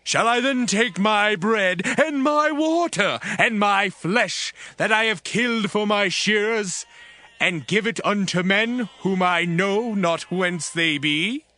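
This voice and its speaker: A man playing a surly, scornful rich sheep farmer in a dramatized Bible, with drawn-out contemptuous delivery. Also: sits high, pushed and bright, no echo, exaggerated voice. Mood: scornful, indignant. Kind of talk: dramatized audio Bible acting in archaic English.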